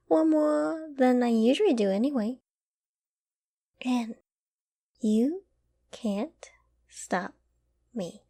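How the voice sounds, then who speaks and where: A woman playing a doting, affectionate girlfriend in an intimate ASMR roleplay, tender and cooing with gentle teasing. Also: high voice, extremely slow, vocal fry, pauses often, heavily acted. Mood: playful, doting. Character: doting, affectionate young girlfriend caring for her sick partner.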